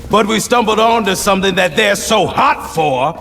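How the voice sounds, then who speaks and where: A man's voice: high voice, expressive, moderate pace, vocal fry, a bit bright, exaggerated voice. A man acting a gung-ho, bellicose Marine sergeant in a video game, barking with swagger at his troops. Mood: defiant, rousing. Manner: barking, swaggering drill-sergeant delivery with emphatic punches. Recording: game cinematic with music behind. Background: music.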